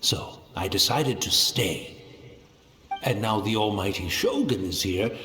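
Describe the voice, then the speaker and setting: An actor playing a humble, blind old tea master in a video game, speaking in a courteous, formal and reflective way. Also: male voice, lowish voice, expressive, measured pace, pushed and bright, soft background music. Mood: calm, reflective. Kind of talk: dramatic video game voice acting. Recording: echoey, long cave-like reverb.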